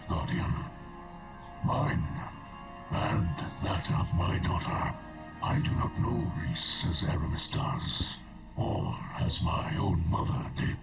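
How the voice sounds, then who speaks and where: A brooding alien leader in a video game. His breathy, rasping voice moves slowly and formally, rising and falling sharply with fanatical intensity. Loud music surrounds the distant audio. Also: fairly low pitch. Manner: solemn, halting, formal. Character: brooding, zealous alien leader slipping into paranoia. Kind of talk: dramatic video game cutscene acting.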